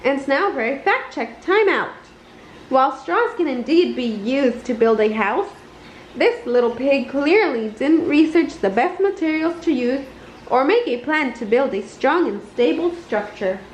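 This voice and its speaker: Storybook goose professor, played by a woman: female, self-important, indignant, high-pitched and measured, in a roomy home recording. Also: expressive. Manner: pompous, emphatic lecturing. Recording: roomy, slightly dull, noisy home recording.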